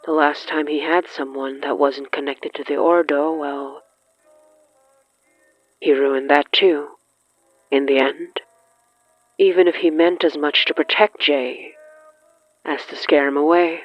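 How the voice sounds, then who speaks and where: A narrator reading scripted dramatic horror fiction in a measured, deliberate way with dramatic pauses. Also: medium pitch, steady tone, no room sound, lo-fi sound. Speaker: narrator of an audio fiction podcast. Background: faint music.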